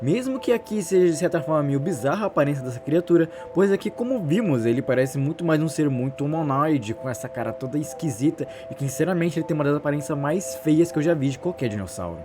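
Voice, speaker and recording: A man with a Brazilian Portuguese accent tells a story in a casual, intrigued way. His voice sits fairly high and swings in a lively range. Clear music plays behind his narration. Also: fast talker, few pauses. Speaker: YouTube narrator.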